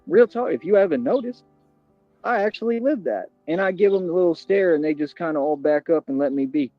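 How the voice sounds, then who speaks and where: A man's voice: fairly high pitch, expressive, brisk, dry recording, faint music behind. A man ranting boastfully and confrontationally on a livestream, heavy on slang. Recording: dull, dry lo-fi stream audio.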